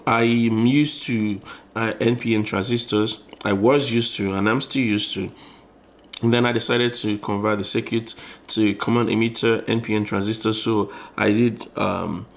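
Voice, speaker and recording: A man with a fairly low voice presents an informal hands-on tutorial. He explains things conversationally, as a presenter would while demonstrating, and often rewords his phrases along the way. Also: fairly even intonation, normal speed, creaky. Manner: explanatory, conversational, frequently rephrasing.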